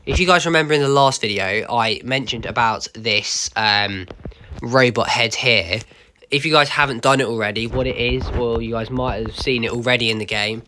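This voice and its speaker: A man with a slightly croaky voice, walking viewers through a game's new event in a casual, chatty voice-over with lots of ums. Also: medium pitch, fairly bright tone, a little hiss or hum. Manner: chatty, many ums, addresses viewers as guys. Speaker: gaming YouTuber. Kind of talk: casual game walkthrough narration.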